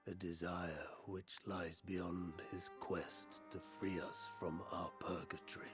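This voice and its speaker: An actor playing a sly, proud fiend of the void in a fantasy game, with a gravelly voice and a deliberate, grandiose manner. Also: male voice, low voice, flat delivery. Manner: slow, deliberate, archaic and grandiose. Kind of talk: theatrical fantasy game acting. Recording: game music behind the voice.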